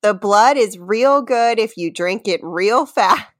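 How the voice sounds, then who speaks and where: A woman's voice: mid-range voice, expressive, slow pace, no echo, clean studio sound. A woman in her thirties co-hosting a casual comedy podcast, playful and jokey, often laughing as she talks. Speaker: podcast co-host and game quizmaster. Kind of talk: casual comedy chat with playful dramatic readings of song lyrics.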